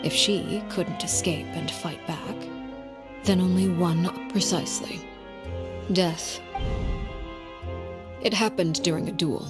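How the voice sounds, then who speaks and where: A woman voicing a cold, composed and menacing guardian in a video game, speaking in a measured, formal, ominous manner. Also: lowish voice, steady tone. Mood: grave, composed. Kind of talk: video game voice acting, storytelling dialogue. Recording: music behind the voice.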